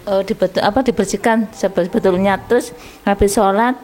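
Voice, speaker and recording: Female questioner, medium pitch, polite and slightly halting, informal delivery. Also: steady tone, darkish tone. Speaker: audience member asking questions. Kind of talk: casual spoken question.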